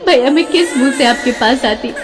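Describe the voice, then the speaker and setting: A woman voicing a betrayed blind young mother in an animated story, plaintive and pleading with emotion. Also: high voice, moderate pace, music in the background, natural voice. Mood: regretful. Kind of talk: melodramatic Hindi animated story acting.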